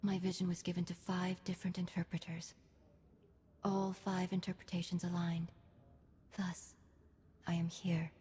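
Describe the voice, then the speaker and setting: A solemn alien mystic woman, with a soft, dark and heavily husky voice. She speaks in clipped, stilted, serene statements of certainty, ringing in a long reverberant space. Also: fairly low pitch, level tone. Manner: formal, clipped, measured, stilted phrasing. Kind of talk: scripted video game dialogue.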